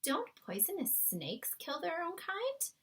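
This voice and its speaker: A woman's voice: very high-pitched, wildly swooping pitch, slow, fairly bright tone, voice pushed up, heavily acted. A woman voicing a young girl in a children's storybook, troubled and questioning, with an earnest, protesting manner. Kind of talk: children's storybook read-aloud.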